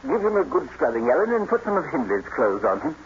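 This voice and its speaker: An actor playing a kindly, fatherly master of an estate, gentle and a little hesitant, in an old radio drama. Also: a little high, very animated, quick pace, vocal fry. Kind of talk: 1940s radio acting. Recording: muffled old radio recording with hiss.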